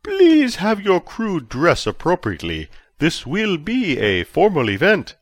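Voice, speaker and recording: A giddy, fawning chancellor, put on by a man reading a story, his voice pushed up high and loud. He gushes each word slowly and floridly, with wide swoops of pitch. The sound is dry and close. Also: wildly swooping pitch, dry recording, exaggerated voice. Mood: gracious. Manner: gushing, drawn-out delivery.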